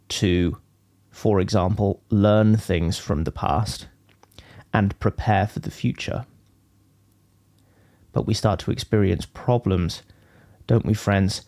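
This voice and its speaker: A man with a low, mellow voice leads a guided meditation at a very slow pace. He teaches in deliberate, measured phrases, leaving frequent pauses between them. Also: no room sound, studio quality.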